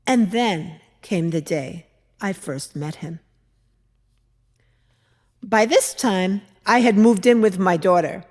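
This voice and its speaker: A woman performing a dramatic monologue as a skeptical older mother-in-law from biblical times, telling her memories in a deliberate, expressive, reflective way. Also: medium pitch. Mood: warm remembering. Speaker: dramatic reader at a church service. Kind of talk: dramatic monologue, measured storytelling. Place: large church interior. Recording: echoey church hall, long reverb.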